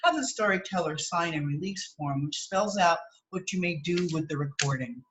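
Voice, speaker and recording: A woman's voice: fairly low pitch, expressive, normal speed, noisy background. A woman reading an article aloud as a teacher, breaking off for plain explanatory asides and checking that listeners follow. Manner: instructive, checks understanding with tags like okay and right.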